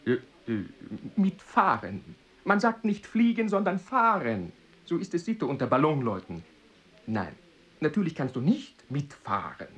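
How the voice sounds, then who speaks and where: A proud, teasing balloonist. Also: male voice, fairly high pitch, huge pitch swings, unhurried, fairly bright tone, husky, exaggerated voice. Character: bold male balloonist, proud and amused. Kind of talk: radio play acting.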